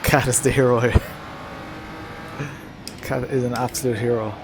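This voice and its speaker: A man's voice: mid-range voice, level tone, moderate pace. A man streaming a racing game with casual, joking live commentary over car noise. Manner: joking, self-amused. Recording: noisy, car engine sound.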